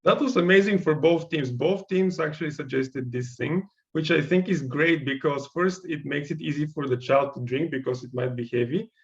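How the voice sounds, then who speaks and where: A man with a Bulgarian accent, whose first language is Bulgarian, teaching a workshop and giving encouraging, thoughtful feedback on student designs while often pausing to search for words. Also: on the high side, dull audio. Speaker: workshop instructor.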